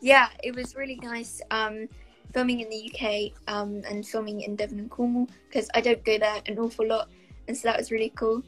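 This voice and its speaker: A woman's voice: fairly high pitch, little pitch movement, brisk, a bit bright, soft background music, rough recording. A young woman from South Wales with a Welsh accent, answering interview questions casually over a video call, thoughtful and full of fillers. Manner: thoughtful, many fillers and hedges. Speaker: actress guest. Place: small bright room indoors. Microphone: laptop webcam on a video call.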